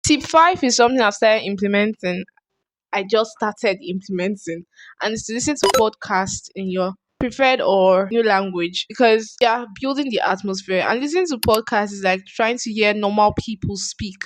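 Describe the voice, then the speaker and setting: A woman's voice: medium pitch, normal speed, a little hiss or hum. A young woman with a Nigerian accent gives casual language-learning tips to camera. She is chatty and earnest and often restarts her phrases. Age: young adult. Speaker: vlogger. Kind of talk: casual advice vlog.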